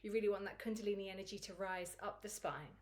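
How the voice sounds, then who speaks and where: A woman with a croaky, recovering voice teaching a Kundalini yoga routine in a chatty, encouraging way. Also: mid-range voice, quick pace. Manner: chatty and encouraging, laughs easily. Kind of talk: guided yoga class instruction.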